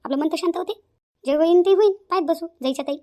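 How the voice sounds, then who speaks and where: Blunt young daughter-in-law, woman acting, high and rapid. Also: a little mellow. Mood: defiant. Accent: rural Maharashtra, Varhadi. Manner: outspoken, insistent, combative. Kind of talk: cartoon family drama acting.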